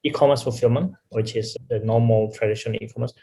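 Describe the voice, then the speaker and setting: A man's voice: medium pitch, fairly even intonation, fast talker, gravelly, dark tone, slight background noise. A company executive explaining his business in an interview, often checking in with "right?". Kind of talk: interview answers.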